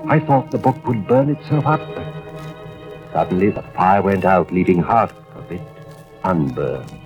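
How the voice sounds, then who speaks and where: A muffled vintage radio recording with music carries a weary, anxious husband. He has a lowish voice and tells his suspense story in a measured, dramatic first-person style. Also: lively intonation. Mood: tense, brooding. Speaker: narrator and lead actor. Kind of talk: 1940s-50s radio suspense acting.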